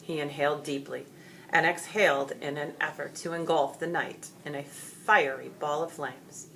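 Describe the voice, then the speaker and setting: A woman reading a story aloud as expressive scripted narration. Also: low voice, normal speed, vocal fry, slight background noise, low-quality recording. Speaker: storybook reader.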